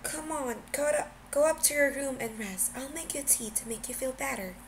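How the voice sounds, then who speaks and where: Woman acting a caring, motherly woman, raised pitch. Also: normal speed, a little hiss or hum. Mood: concerned.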